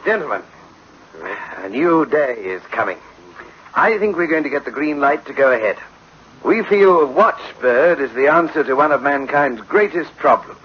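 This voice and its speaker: A man's voice: on the high side, expressive, normal speed, natural voice. An actor playing a confident, profit-minded businessman with a grandiose, persuasive manner, in a 1960s radio drama. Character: confident, gleeful, profit-minded male businessman pitching an invention. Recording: dull, noisy old radio recording.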